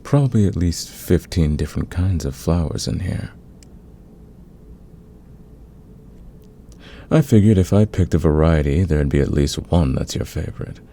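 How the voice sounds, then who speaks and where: Man acting a very deep, seductive gravelly villain. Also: expressive, warm tone, heavily acted. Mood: confident, teasing. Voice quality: gravelly, husky. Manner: intimate, teasing, unhurried, confident. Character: smitten male fantasy villain, patient, dominant, smoothly seductive. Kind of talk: romantic ASMR audio roleplay.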